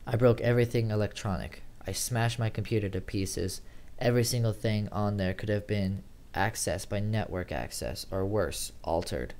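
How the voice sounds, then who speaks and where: A man's voice: on the low side, normal speed, darkish tone. A man reading a first-person horror story aloud in a dramatic, theatrical way, sometimes stumbling over words. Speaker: amateur horror story reader.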